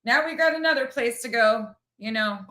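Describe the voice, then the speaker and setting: A woman host giving casual reaction commentary in a bright, cutting voice pitched a little high. Her manner is sarcastic and mocking, and she repeats points to drive them home. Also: normal speed. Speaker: host reviewing another commentator's video.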